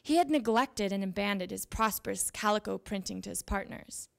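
A young woman giving a dramatic reading of old letters at a lectern, with measured, deliberate phrasing. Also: medium pitch, vocal fry. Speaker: reader at a literary event. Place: library event room with seated audience. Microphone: handheld microphone at a lectern.

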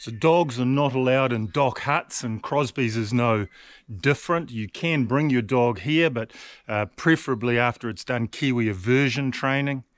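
A man's voice: medium pitch, dry recording. A man narrating a casual camping and hiking vlog to camera in a chatty, understated way, often adding little tag questions. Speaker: solo hiking vlogger.

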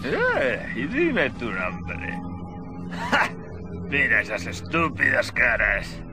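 Cantankerous game survivor, slow and mocking, hugely animated pitch, music behind. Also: male voice, full character voice. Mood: sneering. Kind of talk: video game cutscene acting.

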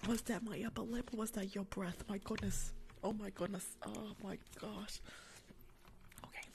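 A woman's voice: mid-range voice, fairly even intonation, normal speed, gravelly, background noise. A young woman whispers close to the microphone in an ASMR roleplay as a rude dental worker, sassy and chiding, often repeating short phrases. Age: young adult. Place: small room at home, indoors. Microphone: large tabletop microphone, very close.

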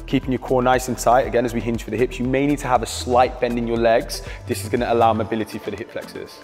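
A British man guides a workout with calm, steady coaching cues. His voice carries a long reverb from the hall, with faint music under it. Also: medium pitch, fast. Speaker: fitness coach. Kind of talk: instructional workout voice-over.

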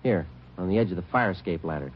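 An actor playing a terse, matter-of-fact police detective, deadpan, in clipped old radio drama style on an old radio recording. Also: male voice, low voice, fast talker, muffled recording, background noise, close to an everyday voice. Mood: focused. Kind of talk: 1940s-50s radio acting, clipped narration.

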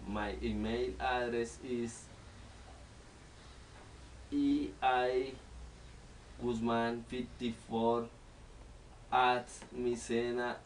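A young man from Colombia in his twenties, speaking English with a Colombian Spanish accent as a learner and reciting a self-introduction word by word with pauses. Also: medium pitch, level tone, distant mic, a little hiss or hum, low-quality recording. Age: 20s. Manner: halting, word-by-word delivery with pauses. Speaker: student. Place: small living room at home. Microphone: camera in front of the speaker.

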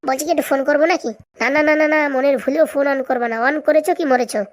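A woman's voice: high voice, steady tone, racing, close to an everyday voice. A woman voicing a greedy, scheming village woman in a cartoon comedy, chatty and bickering in colloquial speech.

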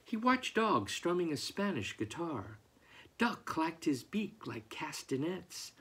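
A man's voice: a little high, creaky, bright, forceful tone. A man in his sixties, an author, reading aloud expressively at home. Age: 60s. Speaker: picture book author. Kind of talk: expressive picture book read-aloud and reading out letters. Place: book-lined room at home, indoors.

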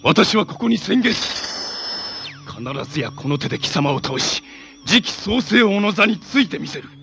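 A man's voice: a little high, sing-song, unhurried, gravelly, full character voice. A man playing a haughty armored villain from a tokusatsu TV show, heir to an evil empire, making grandiose, commanding, theatrical declarations. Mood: menacing. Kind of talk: Japanese tokusatsu TV villain acting. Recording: clip from 1980s TV with music behind.

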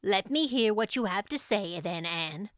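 A woman's voice: mid-range voice, lively intonation, unhurried, dry recording, studio quality, close to an everyday voice. A woman voicing a strict, exasperated female guardian in a storybook, curt, firm and indignant. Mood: grim.

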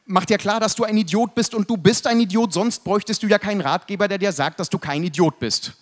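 A young man with a high voice reads a satirical slam text on stage. He delivers it like a deadpan instruction manual, speaking into a stand microphone. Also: fast talker. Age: 20s. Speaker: slam poet. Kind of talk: satirical poetry slam reading. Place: live stage in an indoor event hall. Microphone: mic on stand.

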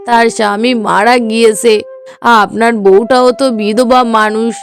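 A woman's voice: on the high side, lightly acted. A woman voicing a righteous neighbour in a cartoon melodrama, scolding with pointed rhetorical questions. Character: reproachful, righteous neighbour woman defending widows.

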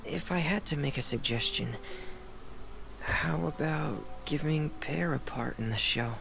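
A man voicing a shy, soft-spoken young boy in a game, hesitant and gentle. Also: a little high, measured pace, creaky, poor audio. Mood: shy, tentative. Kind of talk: English game voice acting. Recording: game trailer with music.

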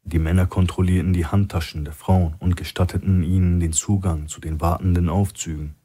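A man with a husky voice reading scripted thriller narration as an audiobook narrator. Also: low-pitched, little pitch movement, brisk, mellow.

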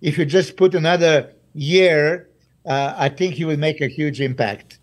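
A man gives his view as a guest on a video call. He hesitates often, piling in fillers as he gathers his thoughts. His voice sits a little on the high side. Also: measured pace. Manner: hesitant, many uhs. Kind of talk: casual video-call chat.

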